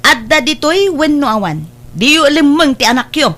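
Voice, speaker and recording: Picture a hot-tempered young Filipino wife, acted by a woman in a comedy drama. Her voice is very high and piercingly bright, swinging widely in pitch as she scolds and taunts. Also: animated, moderate pace. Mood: confrontational.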